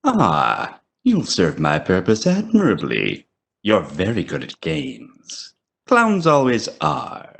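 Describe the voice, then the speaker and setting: Smug sinister villain, very slow gloating drawl, wildly swooping pitch, audio drama. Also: male voice, wildly swooping pitch, creaky, a bit bright, husky, heavily acted. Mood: smug menace. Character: tall, imposing Toymaker, a smug, sinister games-master villain.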